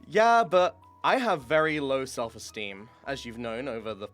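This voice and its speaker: A man's voice: medium pitch, moderate pace, soft background music. A young man streamer in casual chat, candid and self-deprecating, swearing freely, with a throat worn from screaming. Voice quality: says his voice is monotone, tries to liven it.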